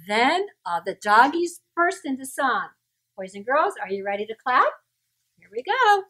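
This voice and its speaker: A woman with a very high, lively voice reads a story aloud to children. She takes her time and acts it up with big swings in pitch, pausing to put questions to the listeners. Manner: expressive, dramatic, asks listeners questions.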